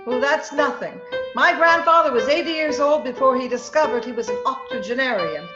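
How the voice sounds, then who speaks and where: A woman's voice: high voice, brisk, over music, lightly acted. A woman playing a long-suffering, teasing wife with dry delivery in old-time radio comedy style. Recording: echoey, dull video call recording.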